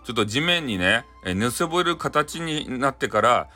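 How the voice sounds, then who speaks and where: A man's voice: medium pitch, animated, moderate pace, pushed and bright, faint music behind. A man with a Hakata accent playfully retelling a folktale in dialect, improvising as he goes and throwing in sound effects. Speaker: storyteller.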